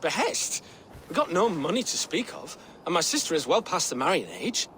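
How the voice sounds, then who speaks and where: A man's voice: on the high side, big pitch swings, normal speed, pushed and bright, slight background noise, low-quality recording. A young man playing an anxious, earnest nineteen-year-old peasant in a period game, with naive, quaint phrasing. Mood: wary, indignant. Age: young adult. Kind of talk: dramatic period game acting.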